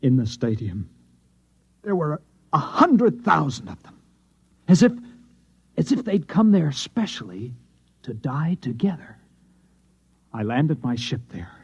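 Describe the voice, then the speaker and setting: Gloomy shaken martian, halting, soft husky voice, old muffled radio play. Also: male voice, fairly high pitch, sing-song, heavily acted. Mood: haunted, distressed. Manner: halting, haunted, earnest. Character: dismal, shaken Martian man, last of his kind. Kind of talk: old-time radio drama acting. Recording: dull old radio recording.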